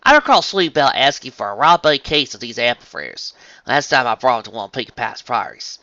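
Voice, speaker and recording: A hearty young female farm pony, played by a man reading a story, with a twangy Southern US accent. The delivery is folksy, blunt and drawling, bright and lively in pitch. The sound is a little dull and lo-fi. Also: brisk, dry recording. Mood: easygoing.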